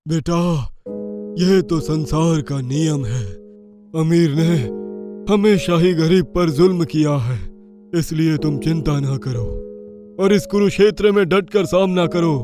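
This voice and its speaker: A woman's voice: low voice, takes it slowly. Brief: woman voicing a wise elderly sage, soothing and preachy, music faint behind. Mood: consoling. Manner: calm, consoling, preachy. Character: kind old male holy man, gentle and wise.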